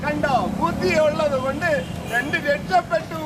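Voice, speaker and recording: A man narrating a puppet show, telling the story lively to an outdoor audience. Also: extremely high pitch, normal speed. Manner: lively, addressing children. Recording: loud outdoor crowd and traffic noise.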